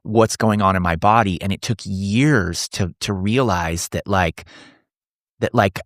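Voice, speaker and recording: A man's voice: lowish voice, measured pace, dry recording, clean studio sound. A man in his forties, a coach speaking as a podcast guest, earnest and thinking aloud with frequent restarts. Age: 40s. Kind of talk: casual podcast conversation.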